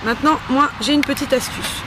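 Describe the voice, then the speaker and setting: A woman's voice: high-pitched, steady tone, fairly quick, sharp tone. Chatty woman giving a casual tutorial, noisy background. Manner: chatty, playful, informal. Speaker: host of a homemade beauty-care tutorial. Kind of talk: casual spoken step-by-step demonstration.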